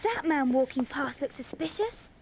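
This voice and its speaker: A woman's voice: high-pitched, expressive, normal speed, vocal fry, darkish tone. A woman playing an inquisitive, jumpy schoolchild in a children's audio drama, on a faintly noisy cassette. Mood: curious, suspicious. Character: inquisitive, jumpy young schoolchild in a secret club.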